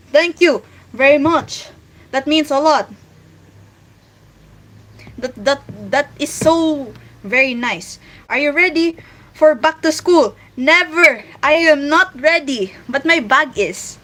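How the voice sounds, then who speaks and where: Bright-voiced Filipino woman chatting. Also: sits high, lively intonation, slow pace. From Philippines. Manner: chatty, rambling with many restarts. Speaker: streamer. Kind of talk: casual livestream chat while drawing.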